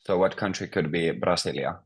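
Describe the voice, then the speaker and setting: A man's voice: deep voice, a little fast, breathy edge. A man teaching a beginner language class, patiently prompting students and explaining grammar step by step.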